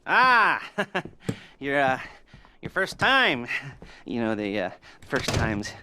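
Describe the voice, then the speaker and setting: A sly middle-aged heist boss in a game cutscene, giggling through his pauses and dropping leering innuendo. His voice is bright and jumps around in pitch. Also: wildly swooping pitch, a little hiss or hum, exaggerated voice. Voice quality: giggling, rambling with many pauses. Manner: creepy innuendo, teasing. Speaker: heist mission giver. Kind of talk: video game cutscene dialogue.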